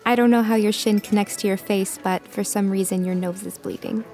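A woman's voice: medium pitch, fairly even intonation, fairly quick, warm tone, faint music behind, lightly acted. A woman as a teasing, self-assured psychic. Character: young woman tarot-reading psychic, confident. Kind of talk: comedic audio drama.

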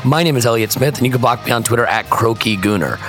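Hoarse American man hosting a podcast. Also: medium pitch, fast talker, a bit bright, faint music behind. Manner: self-deprecating, rambling with restarts. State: hoarse, croaky voice. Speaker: podcast host. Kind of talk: casual podcast chat. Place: hotel room.

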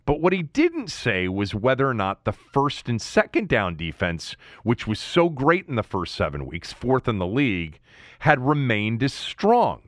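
A man's voice: mid-range voice, huge pitch swings, a little slow, fairly bright tone, no room sound, studio quality. A man hosting a sports talk show, explaining his analysis in an emphatic, conversational monologue, thinking aloud as he goes.

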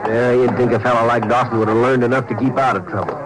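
An actor playing a concerned small-town sheriff in a 1950s radio drama. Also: male voice, mid-range voice, steady tone, quick pace, crowd noise, lightly acted, rough recording. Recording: old radio recording with bustle behind.

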